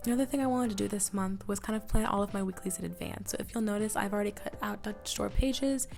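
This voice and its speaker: A teenage girl talks quickly and chattily, her voice gravelly and a little nasal from being sick. She narrates her vlog in an upbeat, self-mocking tone, with soft music playing under her words. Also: mid-range voice. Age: late teens. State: scratchy, nasal from a cold. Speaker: planning vlogger. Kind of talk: casual voice-over narration.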